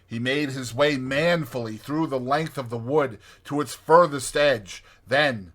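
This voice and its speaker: A man narrating a storybook expressively, with measured, dramatic phrasing. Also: mid-range voice, a bit bright. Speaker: audiobook narrator.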